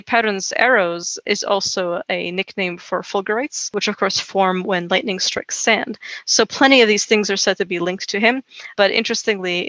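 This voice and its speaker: Bright, dry-voiced rambling podcast co-host. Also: mid-range voice, no echo, dull audio. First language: Serbo-Croatian. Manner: rambling, filler-heavy, frequent "you know" and "right?". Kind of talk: casual folklore podcast chat.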